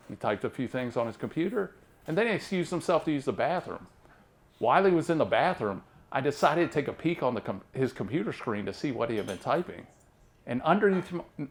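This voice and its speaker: This is a man in his thirties with a drawl that sounds like the American South, though he grew up near Baltimore. His voice sits a little high and moves through a lively, wide range. He tells self-deprecating stand-up jokes in a deadpan way. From Maryland, near Baltimore. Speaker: stand-up comedian. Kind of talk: stand-up comedy set.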